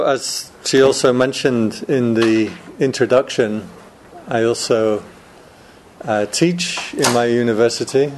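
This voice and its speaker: A man speaking unhurriedly to a student audience as a monk giving a casual Dharma talk. He chats, teases his listeners and laughs at his own jokes, with a middling pitch and faint room noise behind him. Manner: chatty and joking, laughs often.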